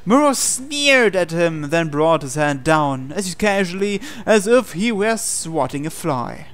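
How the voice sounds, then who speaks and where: A man's voice: fairly high pitch, big pitch swings, slow, fairly bright tone. A man reading a visual novel aloud as a let's player, with theatrical storytelling and casual asides. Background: game music.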